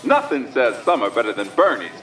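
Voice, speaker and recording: An actor playing a peppy supermarket announcer pitching deals to shoppers in an audio drama. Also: male voice, huge pitch swings, brisk, fairly bright tone, distant mic, poor audio. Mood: upbeat, salesy. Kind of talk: promotional store announcement.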